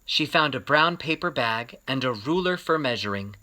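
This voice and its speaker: A man telling a children's picture-book story as a read-aloud, expressive and varied in his emphasis. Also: medium pitch, moderate pace, low-quality recording.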